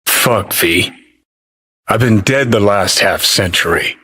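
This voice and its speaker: Johnny Silverhand, sardonic American rebel, forceful edge. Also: male voice, lowish voice, slow, slightly rough, heavily acted. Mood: irritated, bitter. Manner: sardonic, profane, contemptuous drawl. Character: Johnny Silverhand, cynical, abrasive dead rock rebel. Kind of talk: video game voice acting.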